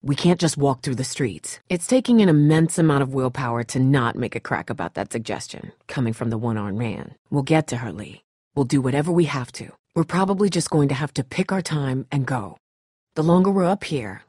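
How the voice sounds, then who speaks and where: A tough young woman survivor from a video game drama, protective and blunt. Her voice is husky and swings widely in pitch, recorded close and dry. She speaks in terse, urgent lines with a sardonic edge. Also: mellow, dry recording.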